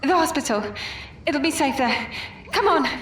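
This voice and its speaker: A woman's voice: sits high, lively intonation, moderate pace, slight background noise. A woman playing an urgent, resolute survivor of an undead outbreak in an audio drama. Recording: distant from mic, music behind.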